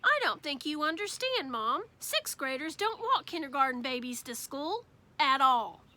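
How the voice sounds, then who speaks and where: Lady reading as fed up big brother around eleven, storybook, high voice, always protesting. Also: big pitch swings, normal speed, sharp tone, full character voice. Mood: exasperated. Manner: protesting, emphatic. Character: exasperated sixth-grade boy, big brother narrating his family.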